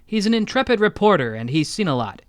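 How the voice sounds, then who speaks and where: A man speaking as an interview guest, an audio drama creator with deadpan joking. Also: high voice, quick pace, dry recording. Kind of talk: interview conversation.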